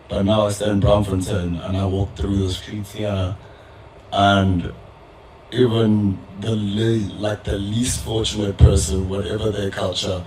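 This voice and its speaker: Low, flat-voiced young man. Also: a little hiss or hum, poor audio. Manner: measured, pausing often with fillers. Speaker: audience member in a community dialogue. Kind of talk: reflective public discussion. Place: event hall with audience.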